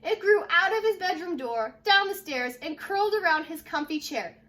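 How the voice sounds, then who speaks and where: A woman's voice: very high voice, moderate pace. A woman reading a children's storybook aloud as a teacher would, expressively, leaning on key words. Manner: expressive, stresses repeated words, asks listeners questions.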